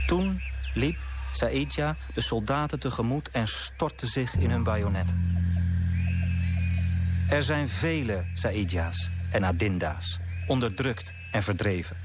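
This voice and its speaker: An earnest young storyteller in a radio play. His medium-pitched voice is measured and serious, with clear music playing behind it. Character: earnest young man telling a sorrowful colonial tale.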